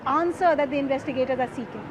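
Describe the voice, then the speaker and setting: Clearly noisy outdoor field audio carries a high-pitched woman reporter with an Indian accent. She reports live, explaining things emphatically. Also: fast talker. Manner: emphatic, explanatory, rhetorical questions. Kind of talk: live on-location news reporting.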